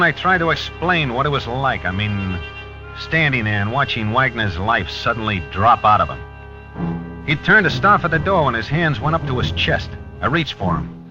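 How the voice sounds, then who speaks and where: An actor playing a hard-boiled, shaken police lieutenant in a 1950s radio crime drama, speaking in terse sentences. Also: male voice, medium pitch, close to an everyday voice. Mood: grim. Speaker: narrator and detective. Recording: muffled 1950s radio recording.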